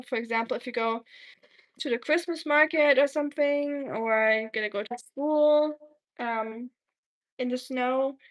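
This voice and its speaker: A woman's voice: sits high, a bit bright, poor audio. A woman from Germany with a German accent, chatting casually while cooking on a livestream and answering viewer comments.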